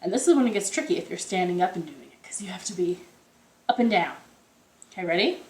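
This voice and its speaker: A woman hosting a storytime for young children, reading aloud expressively with drawn-out phrases and questions to her listeners, recorded indoors at home. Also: fairly low pitch, expressive. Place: indoors, in a living room. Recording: roomy, echoey home room sound.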